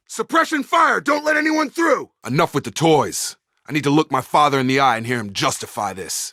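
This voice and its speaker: An actor playing a steady, dutiful space operative in a video game, with a clipped, matter-of-fact military delivery. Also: male voice, lowish voice, dry recording, studio quality. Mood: urgent shouting, then tense. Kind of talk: scripted video game dialogue.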